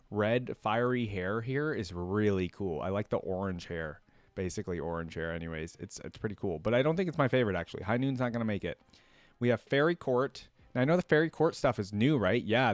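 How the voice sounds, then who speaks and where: A man's voice: medium pitch, dry recording. A man hosting a reaction video, giving casual commentary in an informal way, thinking aloud and often indecisive.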